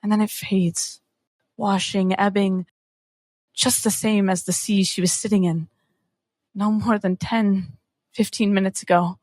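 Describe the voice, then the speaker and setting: Very slow, intense woman narrating roleplay. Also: mid-range voice, level tone, darkish tone, no room sound, studio quality. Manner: intense, halting, with dramatic pauses. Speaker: tabletop player. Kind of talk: dramatic third-person roleplay narration.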